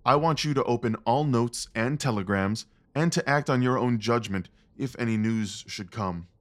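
A man acting a restless, commanding gentleman detective in an audio drama, speaking formally and with intense emphasis. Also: mid-range voice, normal speed, close to an everyday voice. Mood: resolute, brisk.

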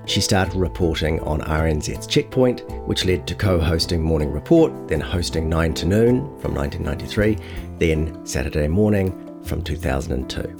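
Low-voiced man narrating a long-read feature. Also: creaky. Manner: acts out quotes within narration.

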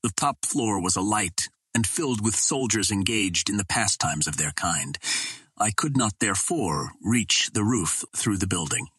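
A man reading an adventure novel aloud as a narrator, with measured, dramatic storytelling. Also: fairly low pitch, lively intonation, fairly bright tone, breathy edge, no room sound. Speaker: audiobook narrator.

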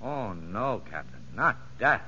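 A sly convict safecracker from a vintage radio play. His voice is sharp and very animated, full of street slang and feigned innocence. The recording is dull and aged. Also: mid-range voice, takes it slowly, slight background noise, heavily acted. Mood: mock contrite. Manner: streetwise slangy talk, mock-earnest. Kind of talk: 1950s radio drama acting. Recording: muffled vintage radio broadcast.

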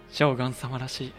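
A man's voice: mid-range voice, a bit bright. A man playing a composed, guarded tea master who speaks formally and deferentially in a Japanese period audio drama.

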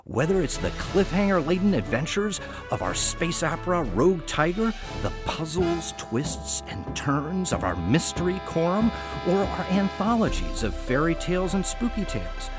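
A man hosting a podcast in a chatty scripted welcome, with wry, playful asides. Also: fairly high pitch. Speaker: artistic director and podcast host.

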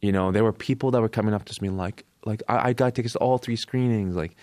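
A man with a Canadian accent telling a personal story casually as an interview guest, in short halting phrases. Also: fairly low pitch, a little mellow, no room sound, clean studio sound. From born in Korea, raised in Canada.

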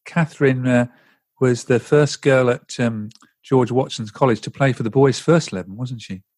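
A man's voice: mid-range voice, normal speed, darkish tone. A man hosting a podcast interview, chatty and hesitant, with many fillers. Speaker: podcast host.

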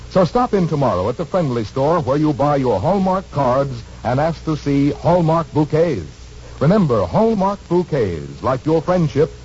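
Higher-pitched man, 1940s radio announcer. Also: darkish tone, slight background noise. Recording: muffled 1940s radio recording, faint music.

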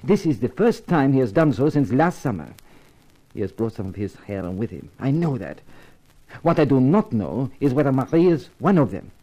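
An actor playing an anxious, courteous Frenchman, speaking gravely and hesitantly in a 1950s radio drama. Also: medium pitch, very animated, moderate pace, mellow, rough recording. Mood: grave, pleading. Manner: grave, hesitant, polite, earnest. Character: anxious, courteous Frenchman seeking his lost sister.

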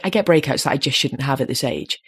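A woman in her late thirties with a slightly deep voice, chatting casually as a podcast host and growing animated when she makes a point. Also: fast talker, breathy edge. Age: 30s. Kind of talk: casual chat.

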